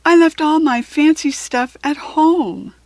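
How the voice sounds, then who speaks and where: A woman's voice: high-pitched, takes it slowly, darkish tone, no room sound, pitched above the performer's own voice. A woman reader voicing a kindly schoolteacher who can be stern, in a children's storybook. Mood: dismayed.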